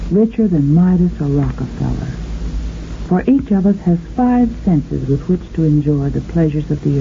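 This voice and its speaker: Female, American, 1950s radio hostess doing sponsor copy: languid, unhurried, intimate and sultry, on a dull vintage recording. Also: low-pitched, dark tone, a little hiss or hum. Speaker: radio show host delivering a sponsor advertisement. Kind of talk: intimate 1950s radio sponsor pitch, addressing listener as sweetheart.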